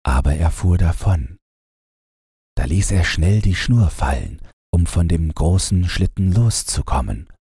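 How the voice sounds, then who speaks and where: A man narrating a bedtime fairy tale from a script in a calm, measured, gentle way. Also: low voice, slightly rough, dry recording, clean studio sound. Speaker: audiobook narrator.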